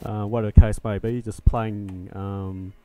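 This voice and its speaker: An Australian man co-commentating a pool match, relaxed and talkative. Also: lowish voice, moderate pace, soft background music. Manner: relaxed, talkative, a few fillers. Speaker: co-commentator and league organiser. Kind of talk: live sports commentary.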